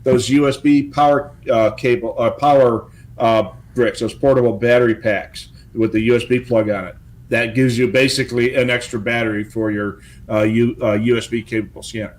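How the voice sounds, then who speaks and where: A man co-hosting a hobby show, giving practical tips in casual, halting speech with stammered pauses. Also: medium pitch, steady tone.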